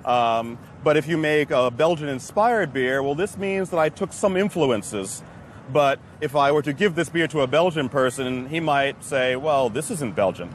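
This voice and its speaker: A man's voice: a little high, lively intonation, normal speed, slight background noise. An American man, a brewmaster, talking casually and laughing easily in an outdoor chat. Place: outdoors in a city street.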